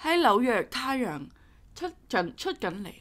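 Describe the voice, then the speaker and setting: A woman's voice: fairly high pitch, very animated, measured pace, fairly bright tone. A woman from Macau co-hosting a podcast from her home over a video call, chatting in an easygoing way in English and Cantonese. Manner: easygoing, laughs often. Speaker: podcast co-host. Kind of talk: casual chat mixing English and Cantonese. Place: home in New York.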